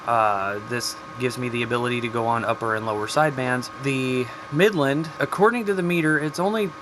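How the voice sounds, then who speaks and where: A man's voice: mid-range voice, brisk, noisy background. A man giving a casual, folksy show-and-tell about his hobby gear as a video host, with informal slang.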